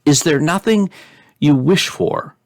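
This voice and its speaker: A man's voice: on the high side, animated, takes it slowly, no room sound, pitched above the performer's own voice. A man reads out a patient storybook king and father. He is earnest, fond and coaxing, with a worried edge. The sound is dry.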